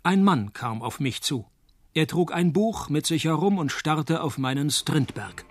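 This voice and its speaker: An actor playing a hard-boiled, laconic private detective, delivering deadpan noir radio play narration and dry wit. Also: male voice, on the high side, unhurried, no echo. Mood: dry, wry. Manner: deadpan, wry.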